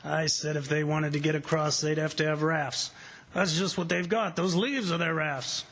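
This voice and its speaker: An actor playing a confident, defiant plantation boss giving commands in a staged radio drama. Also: male voice, a little high, fairly even intonation, normal speed. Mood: grim, wry. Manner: forceful, commanding.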